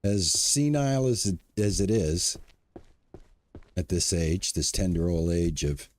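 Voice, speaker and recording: A man's voice: low-pitched, measured pace. A man in his sixties chatting casually to his viewers as he streams a farming game, upbeat and talkative. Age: 60s. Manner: chatty, upbeat, talks to chat. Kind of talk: casual live-stream commentary.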